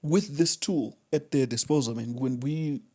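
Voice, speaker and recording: A man hosting a podcast in a studio, asking questions in a relaxed, chatty way. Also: mid-range voice, normal speed. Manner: relaxed, chatty, lots of fillers and restarts. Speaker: podcast host. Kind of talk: casual interview chat.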